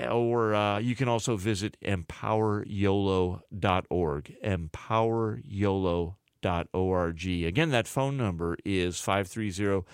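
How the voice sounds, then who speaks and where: A man working as a college radio DJ, reading a public service notice and introducing songs in a casual, unhurried way with frequent "uh" fillers. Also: lowish voice, clean tone, no room sound, studio quality. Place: radio studio.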